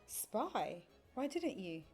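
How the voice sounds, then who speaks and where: An admiring, flirtatious woman in an audio drama. She is eager and inquisitive, speaking at a medium pitch over faint music. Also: very animated, extremely slow, darkish tone, breathy edge, heavily acted. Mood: fascinated. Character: fascinated, admiring, flirtatious adult woman dinner guest.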